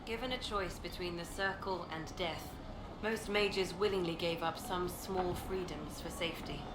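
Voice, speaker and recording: An actress playing a devout, grave woman of faith in a fantasy video game, speaking formally and deliberately. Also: on the low side, natural voice. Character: devout, grave religious woman, measured and wary. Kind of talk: video game cutscene acting. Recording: echoey with background ambient noise.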